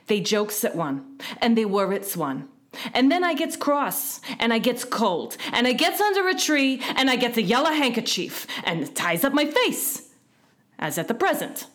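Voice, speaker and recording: A woman's voice: on the high side, very animated, normal speed, a bit bright, voice pushed up. A woman voicing a cross, fretful old male wasp in a storybook, grumbling in rustic, ungrammatical speech. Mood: gentler, self-pitying.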